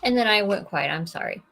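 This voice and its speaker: Slow-talking woman hosting a casual chat. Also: mid-range voice, vocal fry, slightly rough. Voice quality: flat tone of voice. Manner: relaxed, unhurried, many fillers and restarts. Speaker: host.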